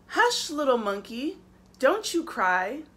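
Woman voicing an eager, bright, high butterfly. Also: big pitch swings, slow, slight background noise, heavily acted. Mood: soothing, helpful. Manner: encouraging, sing-song. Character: helpful, eager, reassuring butterfly guide. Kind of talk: children's storybook read-aloud.

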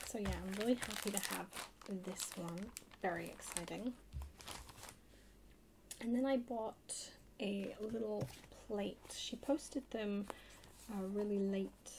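A woman chatting softly in an ASMR haul video, hushed and often slipping into a whisper. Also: mid-range voice. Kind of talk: soft-spoken ASMR haul chat. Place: indoors at a table. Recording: noisy close home recording.

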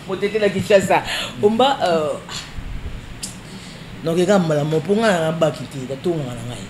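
A woman hosting an interview, animated and quick with interjections, mixing two languages. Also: on the low side. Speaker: interviewer host. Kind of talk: lively interview chat. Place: studio set with wooden walls, indoors. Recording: echoey room with clear background noise.

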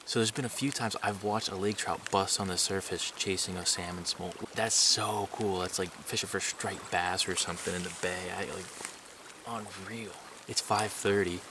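A young man talking casually and excitedly about fishing, tired after a night without sleep, recorded outdoors at a lakeshore. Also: deep voice, moderate pace. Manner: excited, rambling fishing talk. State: tired from staying up all night. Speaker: fishing vlogger. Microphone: camera on the shore at a distance. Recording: camera mic at a distance, outdoor water noise.

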